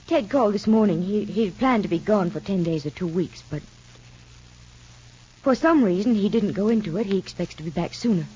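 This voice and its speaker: An actress playing an anxious, fearful mother in a halting, earnest 1950s radio soap style. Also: mid-range voice, lively intonation, dark tone, breathy edge. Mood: subdued, uneasy. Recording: dull vintage radio drama recording.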